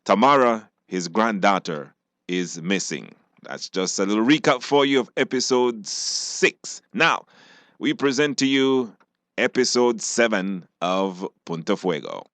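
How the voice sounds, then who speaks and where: A man's voice: on the low side, lively intonation, unhurried, a bit bright, no echo. A man with a Belizean accent presenting a radio program informally, reading a story recap in a studio. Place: dry broadcast studio.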